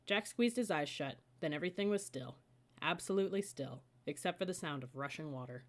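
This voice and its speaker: A woman reads a children's audiobook in a slightly low voice. She narrates the story as a storybook reader, carrying the listener through the scenes. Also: animated, fairly quick.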